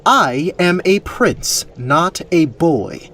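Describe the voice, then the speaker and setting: A man playing a proud, flustered, animated young prince. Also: fairly high pitch, very slow, a little hiss or hum, exaggerated voice. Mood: indignant, commanding. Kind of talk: audio drama acting. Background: music.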